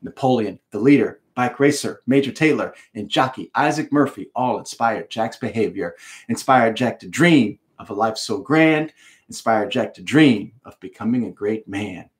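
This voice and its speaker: A middle-aged American man giving an expressive storybook read-aloud with explanatory asides, speaking emphatically with dramatic pauses. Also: lowish voice. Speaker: author reading his book aloud. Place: home room with bookshelves, indoors.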